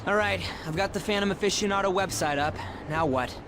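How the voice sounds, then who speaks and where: A man's voice: sits high, a little fast, noisy background, close to an everyday voice. A man playing a hot-headed, slangy teenage boy in an English video game dub, blunt and grumbling. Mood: impatient.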